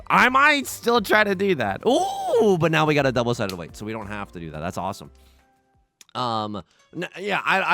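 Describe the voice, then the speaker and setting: A man in early adulthood talks through his game with bouncy, playful energy. His pitch swings all over as he jokes and restarts sentences. Soft game music plays underneath. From China, raised in the US. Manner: excitable, jokey, many quick restarts. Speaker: let's play host. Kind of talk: casual gameplay commentary.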